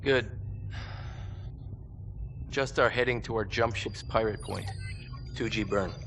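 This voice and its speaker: A gruff male colonel, issues clipped orders in a low, gravelly voice. It is military game drama, with clear vehicle noise around him. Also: slow, a bit bright. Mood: urgent. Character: gruff, urgent male colonel commanding a ship. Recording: noisy vehicle ambience behind the voice.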